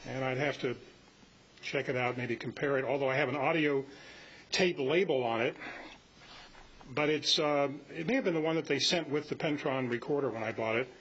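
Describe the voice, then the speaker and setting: A man in his seventies giving an informal, halting, rambling talk to a club meeting. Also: medium pitch, fairly bright tone, husky. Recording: muffled live meeting audio with hiss.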